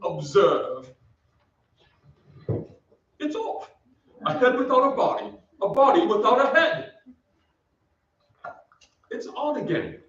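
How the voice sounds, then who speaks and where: An actor playing a boastful, cackling ghost of an English nobleman in grandiose, drawn-out radio-play style. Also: very high voice, sing-song, a bit bright, exaggerated voice. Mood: gleeful showing off. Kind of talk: old-time radio play acting. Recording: roomy stage recording, distant mic, muffled.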